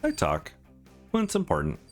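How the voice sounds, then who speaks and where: A man's voice: sits low, huge pitch swings, moderate pace, soft background music, pitched below the performer's own voice, exaggerated voice. A man voicing a guarded, brooding man with dry wit and terse delivery in a visual novel.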